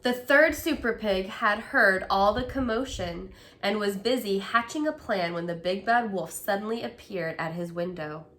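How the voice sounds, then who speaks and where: A woman telling a story to children as a storyteller and teacher, in an expressive storybook style. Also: mid-range voice, moderate pace, hardly any pauses.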